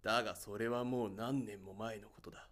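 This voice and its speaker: A man's voice: on the low side, steady tone, a little fast, fairly bright tone, natural voice. A man playing a stern, rule-bound spaceship captain in an audio drama, curt and commanding. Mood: exasperated, indignant.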